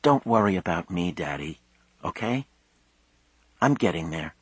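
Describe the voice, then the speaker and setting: A man voicing a quiet, gentle young woman, a grieving daughter in an audiobook, speaking softly and tentatively. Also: low-pitched, lively intonation, measured pace, slightly rough, dry recording, exaggerated voice. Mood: tender, sad. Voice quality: quiet, softened voice.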